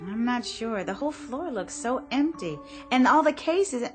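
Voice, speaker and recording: An actress playing an uneasy but polite department store shopper in a radio drama, hesitant, questioning and easily flustered. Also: female voice, a little high, animated, moderate pace, music in the background, close to an everyday voice. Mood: puzzled, uneasy.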